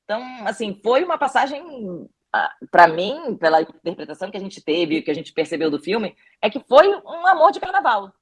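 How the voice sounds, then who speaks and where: A woman's voice: mid-range voice, big pitch swings, normal speed, breathy edge. A woman with a Brazilian Portuguese accent talks through her documentary in a podcast interview. She tells anecdotes reflectively, circling back and restarting her sentences as she searches for the right words. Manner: reflective storytelling with frequent restarts. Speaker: documentary director.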